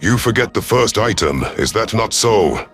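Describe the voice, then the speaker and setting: A man voicing a proud, calculating veteran alien rebel leader in a sci-fi audio story, measured, formal and imperious. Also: on the low side, lively intonation, over music. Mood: calculating pride.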